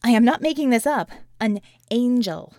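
A woman playing a sardonic, sharp-tongued, weary woman with a wry, emphatic manner in an audiobook. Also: fairly high pitch, lively intonation, moderate pace, dry recording, studio quality, lightly acted. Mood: earnest, explaining.

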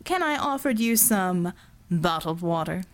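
A woman's voice: on the low side, animated, a little slow. A woman voicing a smug, bored male villain in an audiobook, breezy and sardonic with mock-genteel turns of phrase. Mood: smug taunting.

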